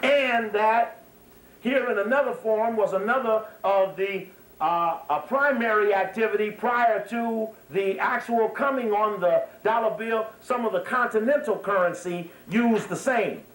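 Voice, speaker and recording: Male lecturer, high-pitched voice, emphatic and digressive, unscripted talk, reverberant room. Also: unhurried, fairly bright tone. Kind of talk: extemporaneous lecture to a live audience. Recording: echoey room, slightly distant microphone.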